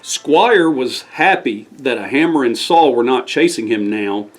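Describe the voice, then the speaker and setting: A man's voice: mid-range voice, lively intonation, unhurried, faint music behind. A man reading a scripted bedtime story aloud as the storyteller.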